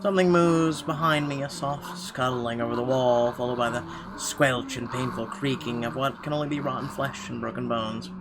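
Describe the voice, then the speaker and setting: A man's voice: mid-range voice, normal speed, noisy background. A man reading a horror visual novel's narration aloud as a gamer, in a dramatic storytelling way with occasional stumbles. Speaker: let's-play gamer. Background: game music.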